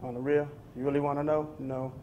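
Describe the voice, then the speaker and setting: A man's voice: fairly high pitch, little pitch movement, fairly quick, muffled recording, slight background noise. An American man speaking casually and plainly in an interview, in short, assured, philosophical statements. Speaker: documentary interviewee.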